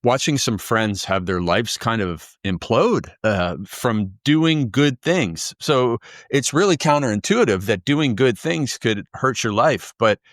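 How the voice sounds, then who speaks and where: A man's voice: mid-range voice, expressive, unhurried, few pauses, no echo, clean studio sound. A man from Canada, a guest author, answering interview questions in a fluent, upbeat, storytelling way. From Canada, near Toronto.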